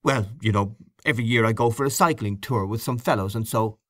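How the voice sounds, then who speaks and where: A man voicing an awkward, defensive gentleman giving clipped, reluctant answers. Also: lowish voice, brisk, no room sound, clean studio sound, natural voice.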